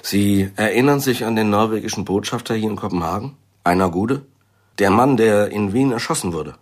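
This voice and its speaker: Male actor as intelligence client, low husky voice, guarded, deliberate, businesslike, radio crime drama. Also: close to an everyday voice. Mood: measured, guarded.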